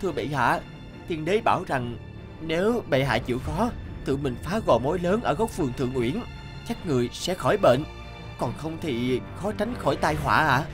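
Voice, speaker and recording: Young cartoon servant male actor, timid yet crafty, bowing deference, high lively voice with music underneath. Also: normal speed, natural voice. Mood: deferential, cautious. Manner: deferential, hesitant, pleading. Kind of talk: animated folk-tale dubbing.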